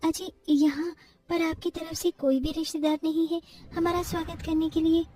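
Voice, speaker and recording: A woman's voice: extremely high pitch, level tone, moderate pace, dark tone, no echo, distant mic. A woman voicing a meek, suffering young wife and devoted mother in a cartoon story, pleading and earnest.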